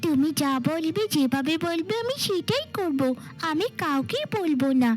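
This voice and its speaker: A woman's voice: very high-pitched, expressive, normal speed. A woman voicing a devoted, timid little girl in a cartoon fairy tale, with a pleading, childlike manner. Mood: affectionate, trusting. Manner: pleading, childlike, affectionate way of addressing mother.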